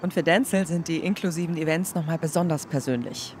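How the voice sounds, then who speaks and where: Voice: mid-range voice, a little fast, noisy background. A news voice-over narrator reading a scripted report. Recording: music bed behind voice.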